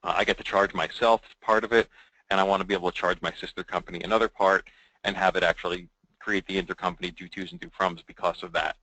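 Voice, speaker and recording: A man with a deep voice and a crisp, forward tone presents a software demo. He talks informally and conversationally, as if walking colleagues through slides. The sound is close and dry. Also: fairly even intonation, fast talker, dry recording, rough recording. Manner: conversational, checking in with "right?".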